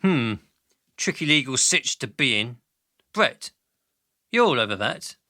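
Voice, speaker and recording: A man's voice: mid-range voice, big pitch swings, unhurried, pushed and bright, no room sound, clean studio sound. A man playing a glib, greedy, callous company boss in a radio drama, pompous and flippant in manner. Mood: breezily callous.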